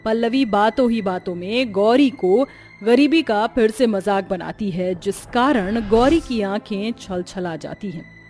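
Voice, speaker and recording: A woman's voice: mid-range voice, expressive, measured pace, a little mellow, faint music behind. A woman narrator reading a scripted family story for a cartoon.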